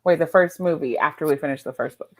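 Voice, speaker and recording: A woman's voice: sits low, quick pace. A woman hosting a book readalong livestream in an informal, chatty way, talking to co-hosts and viewers. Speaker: livestream host.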